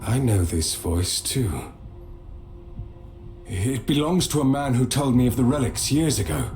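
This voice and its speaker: A man playing a solemn medieval knight in a video game, speaking in a grave, measured manner. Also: medium pitch, close to an everyday voice. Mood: grim. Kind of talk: video game cutscene acting. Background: dramatic music.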